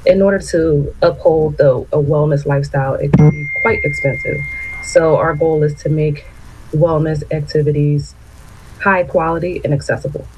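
A woman's voice: deep voice, a little mellow, slight background noise, low-quality recording. A woman who owns a wellness business, answering radio interview questions in a composed, explanatory way. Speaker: business owner guest.

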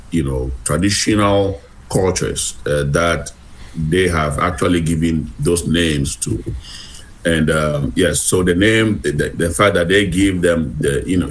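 A man from Africa, calling in to a radio panel and speaking haltingly with many fillers. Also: very deep voice. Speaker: radio caller. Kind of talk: call-in radio panel talk.

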